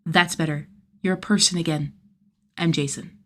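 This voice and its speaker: Gruff-mannered young male vigilante for a storybook reading, sardonic and sweary, soft spot for kids, female performer. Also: sits low, fairly even intonation, moderate pace, a little hiss or hum. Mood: teasing. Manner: casual, slangy, sweary, teasing.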